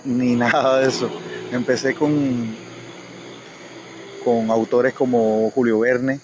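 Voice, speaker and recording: A man from Cuba with a Cuban accent, recalling his own life haltingly and reflectively as a guest in a casual conversation. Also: mid-range voice, level tone. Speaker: guest reader. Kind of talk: personal recollection in casual conversation. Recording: dull lo-fi audio, faint noise, insects.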